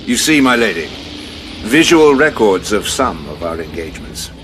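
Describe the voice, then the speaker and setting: A grave, deep-voiced general. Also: male voice, sing-song, noisy background. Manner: measured, formal briefing. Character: grave male general, formal and heavy.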